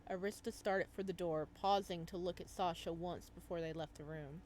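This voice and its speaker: A woman's voice: fairly low pitch, fairly even intonation, moderate pace, darkish tone, slight background noise. Woman reading a visual novel aloud. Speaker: let's play host.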